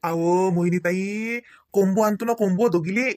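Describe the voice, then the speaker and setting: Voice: medium pitch, normal speed, a little mellow, no echo, studio quality, lightly acted. An actor voicing an eager, polite, easygoing college-age young man in a comedy cartoon, speaking colloquial Marathi with a Varhadi accent. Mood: eager, reassuring. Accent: Varhadi Marathi from Vidarbha. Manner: colloquial, obliging, reassuring.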